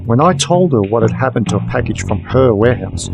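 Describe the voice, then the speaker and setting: A tough werewolf investigator , acted by a man, narrates a hard-boiled radio play. He is wry and matter-of-fact, with a medium-pitched voice. Music runs loudly under his words. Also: big pitch swings, fairly quick, creaky, poor audio. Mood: dry, weary.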